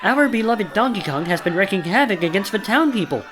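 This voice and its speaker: A woman playing a brisk, easily alarmed TV news anchor in a comic audio play, delivering a mock report. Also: mid-range voice, lively intonation, music in the background, natural voice. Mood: brisk, professional.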